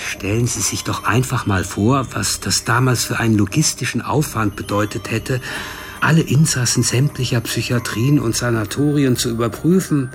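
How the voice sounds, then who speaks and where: An actor playing a matter-of-fact male official at a care institution, measured and polite, in a naturalistic radio drama. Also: medium pitch, fairly bright tone.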